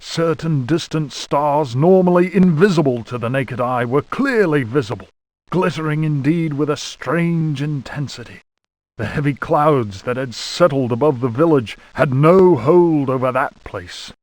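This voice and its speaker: An actor voicing a pompous, fastidious aristocratic explorer of the 1820s, reading a travel journal in a mannered, formal way for a horror game. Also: male voice, fairly high pitch, darkish tone, no room sound, close to an everyday voice. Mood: impressed, formal.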